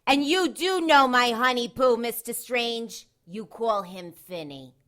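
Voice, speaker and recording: A woman's voice: a little high, expressive, slow, fairly bright tone. A woman playing a pushy, indignant wife in an audio drama. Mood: indignant, demanding. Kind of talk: hardboiled audio drama acting.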